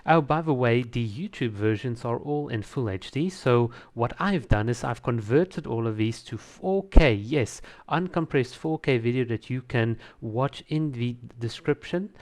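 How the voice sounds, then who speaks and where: A man with a mid-pitched voice presents a trailer review as a casual host. He is conversational and opinionated, and he talks with easy enthusiasm. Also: expressive, a little slow, few pauses, darkish tone. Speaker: host of a video channel reviewing game trailers. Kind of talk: casual reaction commentary.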